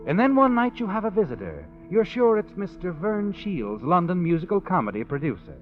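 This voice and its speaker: An actor playing a knowing, taunting American narrator of 1940s radio suspense tales, dramatic and needling. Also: male voice, high voice, wildly swooping pitch, fairly quick. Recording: dull lo-fi 1940s radio recording. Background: dramatic music.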